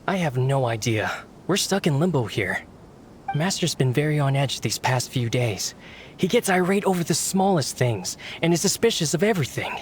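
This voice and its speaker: A fretful, put-upon servant. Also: male voice, on the high side, big pitch swings, moderate pace, a little hiss or hum. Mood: exasperated. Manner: complaining, exasperated.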